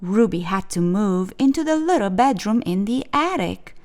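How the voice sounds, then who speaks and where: A woman reading a children's picture book aloud as a storyteller, with expressive, clearly phrased narration. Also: mid-range voice, moderate pace.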